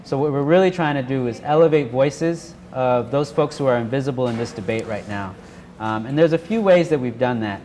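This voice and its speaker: A man presents informally to a workshop in a live room. His pitch is average for a man, and clear background noise sits behind his talk. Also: brisk, a little mellow. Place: indoor workshop room with an audience. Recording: noisy live room recording.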